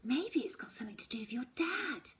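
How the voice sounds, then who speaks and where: A woman voicing a determined, caring but sceptical schoolgirl best friend in a children's audiobook, with a brisk, reassuring manner. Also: high voice, big pitch swings, fairly bright tone, voice pushed up. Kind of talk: children's audiobook character dialogue.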